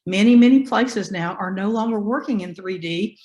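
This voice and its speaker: A woman with a hoarse voice from bronchitis, teaching a live online class over a video call in a folksy, encouraging way and asking her students questions. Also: medium pitch, normal speed, a little mellow, roomy sound. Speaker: online business instructor. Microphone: computer mic on a video call.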